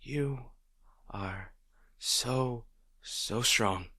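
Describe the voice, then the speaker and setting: A man playing a gentle, protective boyfriend in an intimate roleplay audio, speaking in a tender, reassuring way. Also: mid-range voice, extremely slow, no room sound, full character voice. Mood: tender, soothing. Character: gentle, protective boyfriend comforting a distraught partner.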